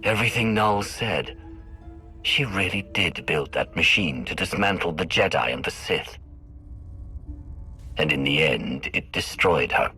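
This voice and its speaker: Game lead: commanding, grave Sith, low and forceful with animated pitch, music underneath. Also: male voice, measured pace, long pauses, full character voice. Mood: grave, resolute. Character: stern, resolute male Sith player hero, commanding. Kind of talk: video game cutscene acting.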